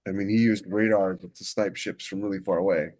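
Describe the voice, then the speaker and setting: A man chatting casually as a podcast co-host and writer, halting and restarting as he thinks aloud. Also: deep voice, steady tone, a little mellow. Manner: halting, thinks aloud with many repeated false starts. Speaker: podcast co-host and science fiction author. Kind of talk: casual chat about book writing.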